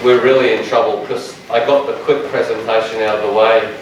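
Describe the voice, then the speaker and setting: A man, a dietitian giving a lecture to health workers in a large room, with a loud, strong voice and a passionate, joking manner. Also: lowish voice, level tone, a little fast, background noise. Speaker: dietitian presenter. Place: large echoey training room. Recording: echoey room, speaker far from mic.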